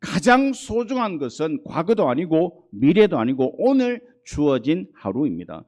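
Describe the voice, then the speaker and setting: A middle-aged man preaching a Sunday sermon as pastor in a church service. He tells stories in a folksy way and often starts his phrases with a drawn-out "ah". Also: fairly high pitch, big pitch swings, a little mellow. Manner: folksy storytelling with frequent "ah" fillers. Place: church worship hall.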